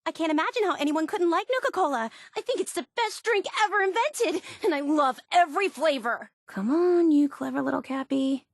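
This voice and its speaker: An obsessive, wide-eyed young woman fan in a video game. Her voice is high and very animated, recorded close and dry. She speaks with gushing, earnest enthusiasm. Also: fairly quick, hardly any pauses, dry recording. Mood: proud, cheerful, then determined. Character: bubbly, obsessive young woman superfan of a soda theme park. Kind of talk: video game character voice acting.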